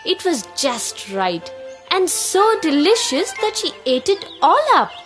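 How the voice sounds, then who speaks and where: Female narrator for children's fairy tales; high voice, very lively intonation, deliberate expressive delivery. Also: music in the background. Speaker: storyteller.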